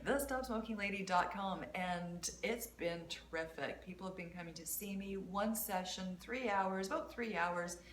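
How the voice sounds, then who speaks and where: A woman in her fifties, a guest hypnotherapist, chatting casually in a relaxed and friendly way. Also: medium pitch, normal speed, hardly any pauses. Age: 50s. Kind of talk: casual conversation. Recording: roomy, slight background noise.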